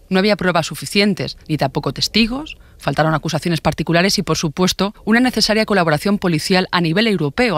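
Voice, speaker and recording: A man narrating a scripted true-crime podcast in a measured, formal storytelling manner. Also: high voice, fairly bright tone, dry recording.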